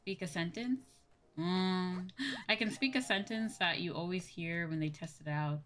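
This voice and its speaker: A woman's voice: fairly low pitch, a little slow, a bit bright. A woman livestreamer chatting casually and informally, thinking aloud as she goes. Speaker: livestreamer and VTuber host.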